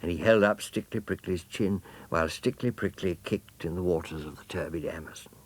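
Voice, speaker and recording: A man's voice: low voice, fairly quick. A man narrating a children's storybook as an audiobook reader.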